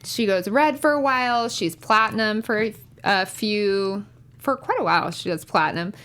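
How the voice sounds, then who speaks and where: A woman's voice: medium pitch, expressive, moderate pace, a bit bright. A woman chatting informally and enthusiastically as a panelist on a TV recap show. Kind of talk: casual chat.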